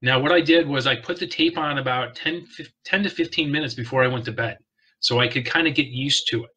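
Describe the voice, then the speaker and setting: A man with a very bright, forceful tone talks straight to the camera about sleep and health. He sounds earnest and conversational, often repeating a word as he restarts a thought, and his voice sits at an ordinary pitch for a man. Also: brisk, slight background noise, low-quality recording. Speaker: wellness vlogger. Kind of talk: casual to-camera health talk.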